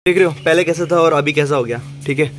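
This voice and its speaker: Higher-pitched young Indian man vlogging over music. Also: fast. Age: young adult. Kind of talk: casual vlog chat with English words mixed in. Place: on the move in car, garage, home and gym. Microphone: phone held at arm's length.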